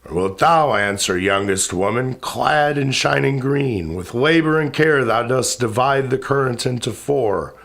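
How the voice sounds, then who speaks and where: A man's voice: mid-range voice, unhurried, gravelly, few pauses, fairly bright tone. A man playing a wrathful, sorrowing ancient spirit king in a recited epic poem, speaking in a grand, commanding, declamatory way. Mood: imperious. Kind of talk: dramatic epic verse recitation.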